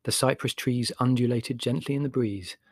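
A man's voice: medium pitch, normal speed, husky, no room sound, studio quality. A man reading a literary memoir aloud as an audiobook narrator, in a descriptive storytelling style.

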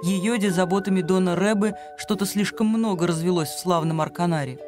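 High-voiced male radio drama narrator. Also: brisk. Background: music.

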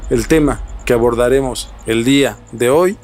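A man's voice: medium pitch, moderate pace, a little mellow. A man with a Mexican accent hosting a podcast, explaining things and chatting in an informal, slangy way. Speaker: podcast host. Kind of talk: podcast talk, explaining and casual chat.

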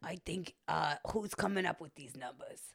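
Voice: medium pitch, normal speed, vocal fry. A performer voicing a lusty, flirtatious and bossy female comic podcast character with a crooning delivery. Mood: puzzled, sassy.

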